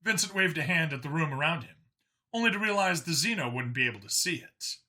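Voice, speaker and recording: Bright, forceful male story narrator. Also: a little high, expressive, fast. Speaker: audiobook narrator.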